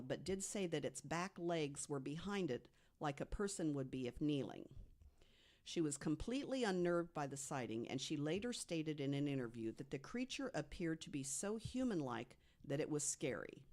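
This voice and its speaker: A podcast panelist tells a researched true story to co-hosts at an average pitch. The delivery follows written notes, with small slips corrected along the way. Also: lively intonation, normal speed, darkish tone.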